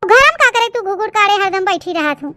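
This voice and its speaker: A woman's voice: very high-pitched, lively intonation, a little fast, background noise. A woman voicing gossipy, nagging village women in a comic cartoon story, speaking with an eastern Uttar Pradesh accent in a chatty, theatrical way. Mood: coaxing, nagging. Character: gossipy rural North Indian village women bickering over a new bride.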